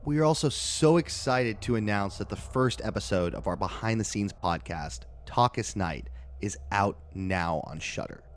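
A man delivers a promotional announcement. His voice sits a little low. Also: expressive, moderate pace.

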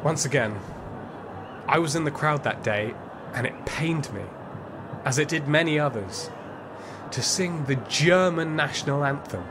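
An actor playing a reflective football fan, narrating his memories of the Nazi era in an audio play in a measured, wry way. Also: a little high, background noise, lightly acted. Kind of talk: first-person dramatic storytelling in an audio play.

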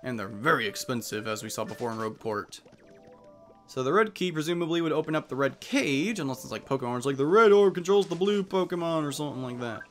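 A man's voice: fairly high pitch, expressive, fast, faint music behind. A man giving casual let's play commentary on a video game, with jokey, playful asides.

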